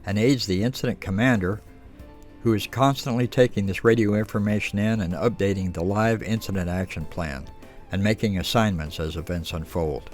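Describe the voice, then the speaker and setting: A man with a fairly low voice narrates a training video from a script. His delivery is instructional, and faint music plays underneath. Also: moderate pace.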